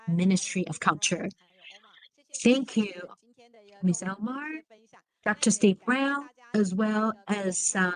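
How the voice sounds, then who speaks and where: A woman speaking as a seminar moderator, giving formal closing remarks in measured, halting phrases. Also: medium pitch, low-quality recording.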